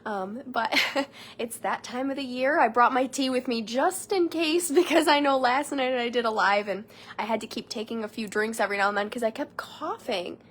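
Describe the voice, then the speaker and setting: A woman's voice: fairly high pitch, normal speed, a bit bright, a little hiss or hum. A young woman with a nasal, stuffy voice from a cold, chatting casually through a live makeup tutorial at home. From Michigan, United States. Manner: chatty, rambling, friendly asides to viewers. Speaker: beauty consultant doing a live makeup tutorial. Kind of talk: casual live-stream chat. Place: home room.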